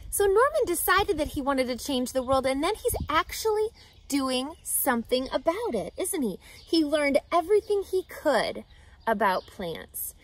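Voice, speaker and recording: A woman reads a children's story aloud, expressive and emphatic, addressing young listeners. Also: high-pitched, a little slow. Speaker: reading teacher, storyteller. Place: outdoors in a grassy lakeside yard.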